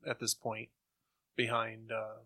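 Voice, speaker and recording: A man's voice: fairly low pitch, monotone, slow, bright, forceful tone. A man on a podcast, casually sharing his opinions in conversation. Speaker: podcast co-host.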